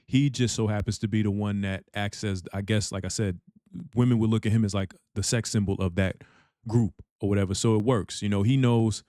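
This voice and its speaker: A man with a deep voice hosting a reaction channel, chatting casually and filling his talk with "you know what I'm saying.". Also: fairly even intonation, fairly quick, dark tone, no room sound, studio quality.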